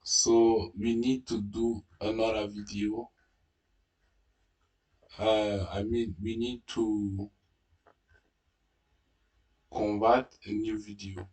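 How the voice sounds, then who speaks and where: Tutorial host, male, French native speaker, hesitant and unhurried, slightly low pitch. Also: level tone, darkish tone, recorded from a distance, low-quality recording. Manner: hesitant, many fillers and restarts. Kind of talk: casual screen-recorded tutorial.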